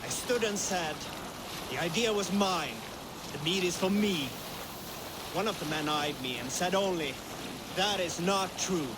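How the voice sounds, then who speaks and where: An actor playing a Viking sailor telling a tale in a measured, formal storytelling manner for a video game. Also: male voice, high-pitched, animated. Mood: measured, reminiscent. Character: adult Viking sailor recounting a childhood tale to crew. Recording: loud water and boat noise. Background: rowing boat on water.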